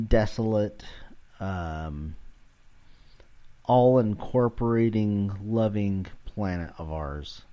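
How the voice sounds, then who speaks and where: A man's voice: fairly low pitch, darkish tone, lo-fi sound. A man around forty rambling casually as a solo podcast host, irreverent, sweary and self-deprecating, recorded at home in his kitchen.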